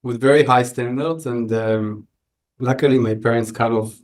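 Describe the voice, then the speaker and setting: A man with an Israeli accent answering interview questions reflectively, often pausing mid-sentence. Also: mid-range voice, fairly even intonation, darkish tone. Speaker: podcast guest, entrepreneur.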